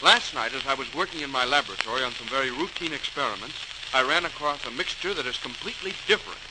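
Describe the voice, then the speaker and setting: An actor playing an earnest, excitable young chemistry student, polite and eager, in old-time radio drama style. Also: male voice, mid-range voice, fast, natural voice. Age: young adult. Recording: hissy, crackling 1940s radio recording.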